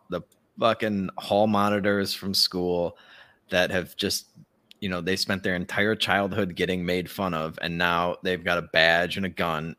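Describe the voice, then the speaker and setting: A man's voice: low voice, a bit bright. A man hosting a podcast, chatting casually with blunt, sarcastic, sweary opinions. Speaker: podcast host. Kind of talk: casual opinionated commentary chat.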